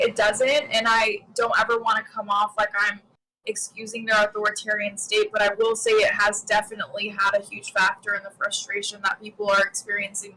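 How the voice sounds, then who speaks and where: A woman's voice: mid-range voice, sharp tone, a little hiss or hum, low-quality recording. A young woman raised in the United States, talking casually and with animation about politics on a stream, often adding "you know" and "right?". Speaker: guest commentator.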